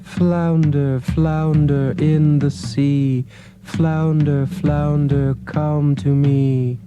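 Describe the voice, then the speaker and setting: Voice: mid-range voice, flat delivery, very slow, over music. An actor playing a meek, henpecked fisherman in a dramatised folk tale, hesitant, pleading and despairing. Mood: sadly calling.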